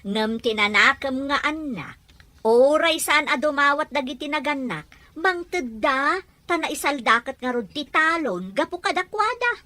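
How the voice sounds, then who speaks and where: High, animated Ilocano village gossip played by a woman. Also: measured pace, dry recording, heavily acted. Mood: gossipy, insistent.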